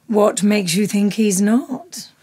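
An actress playing a composed, coaxing middle-aged radio actress in a comedy soap, articulate and soothing. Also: female voice, medium pitch, slow pace, warm tone, close to an everyday voice. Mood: calming. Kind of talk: naturalistic comedy soap acting. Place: radio studio corridor indoors, film camera.

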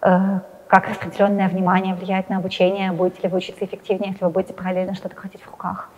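A young adult woman answering interview questions while seated in a studio, talking quickly with frequent hesitant fillers. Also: mid-range voice, monotone, a little mellow, long reverb tail, dull audio, slight background noise. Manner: hesitant fillers, fast and talkative. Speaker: interviewee, science journalist. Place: indoor studio with plain wall, seated in armchair.

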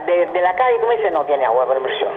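A woman's voice: low voice, big pitch swings, quick pace. A woman with a Havana Cuban accent chatting on the phone, explaining things and repeating herself. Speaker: prank call recipient. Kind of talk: casual phone conversation. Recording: noisy narrow-band phone line.

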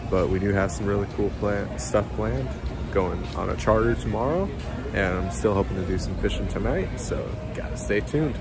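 A man's voice: lowish voice, normal speed. A man in his twenties chatting casually to viewers outdoors on a handheld camera, in a laid-back, folksy way. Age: 20s. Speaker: travel vlogger. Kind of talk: casual vlog talk. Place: outdoors, beach and town street. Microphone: handheld or body-worn action camera. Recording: loud music behind the voice.

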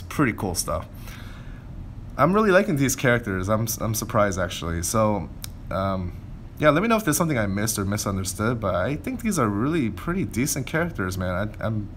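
Man, fairly deep voice, informal gameplay commentary, thinking aloud, background noise. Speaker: gameplay video creator.

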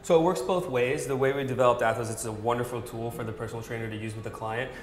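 Fitness brand rep, man in his thirties, rapid instructive delivery, echoey gym recording. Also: mid-range voice, fairly even intonation. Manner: fluent, instructive, coach-like. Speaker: startup product specialist. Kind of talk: product demo explanation. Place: large indoor gym with arched hallway.

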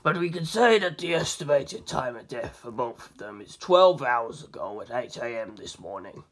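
A reader voicing a grave, matter-of-fact male investigator in a flat, deliberate manner for a story. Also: mid-range voice, voice pushed down.

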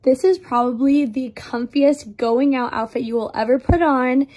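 A young woman with a slightly raspy voice from losing it, chatting bubbly to viewers in a try-on vlog, filmed on a phone in a mirror in a bright living room. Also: high voice, fairly even intonation, normal speed. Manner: bubbly and chatty, addressing viewers as you guys. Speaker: clothing brand try-on host. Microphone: phone held up for a mirror selfie.

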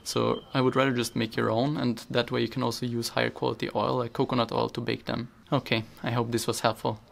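A young man with a gravelly, creaky edge to his voice talks through practical tips in a calm, matter-of-fact way. He keeps his pitch level and steady, with little rise or fall. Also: fast. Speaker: vlogger. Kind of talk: scripted voice-over tips. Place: outdoors by apartment blocks in winter.

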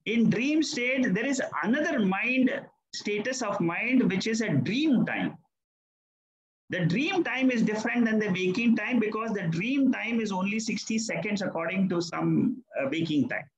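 Older male teacher, patient explainer, animated delivery, roomy call audio. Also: sits high, moderate pace, dull audio. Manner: patient, explanatory, affirming the student often. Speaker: Vedanta teacher. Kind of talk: answering questions in an online class. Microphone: video call.

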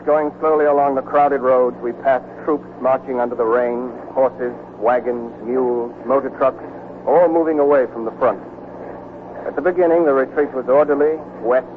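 An actor as a war-weary soldier, old tinny radio. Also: male voice, medium pitch, close to an everyday voice. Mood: somber narrating. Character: weary American army deserter narrating his wartime love story. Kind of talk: 1940s radio drama acting, scripted narration. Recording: muffled, noisy 1949 radio broadcast recording.

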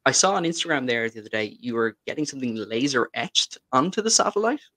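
An Irish podcast host in his thirties with a bright, forward voice on a dry close microphone. He interviews guests and reads their introductions out. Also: medium pitch, sing-song, fast, no echo. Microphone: studio mic at home desk.

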